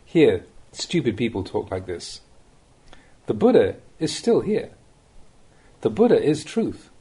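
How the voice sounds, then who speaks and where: A man reading a Buddhist Dhamma talk aloud as a monk, in the measured, plain-spoken way of a teacher, with rhetorical emphasis. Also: medium pitch, wildly swooping pitch, warm tone, slightly rough.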